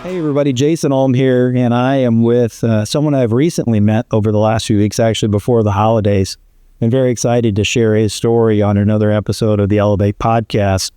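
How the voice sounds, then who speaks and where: A man's voice: medium pitch, steady tone, brisk, warm tone, clear, resonant tone, no room sound, studio quality, soft background music. A man hosting a business podcast, asking his guest questions in an upbeat, conversational way. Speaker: podcast host. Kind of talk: interview conversation.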